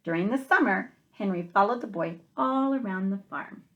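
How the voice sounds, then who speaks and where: A woman teacher reading a picture book aloud to young children, expressively, with gentle questioning asides to the listeners. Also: mid-range voice, expressive, normal speed, narrow, tinny audio.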